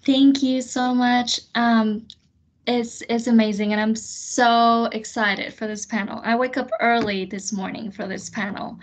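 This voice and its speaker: A woman moderating an alumni webinar on a video call, hesitant and filling pauses with "um". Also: fairly high pitch, steady tone. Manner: hesitant, many "um"s. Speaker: admissions counselor and moderator.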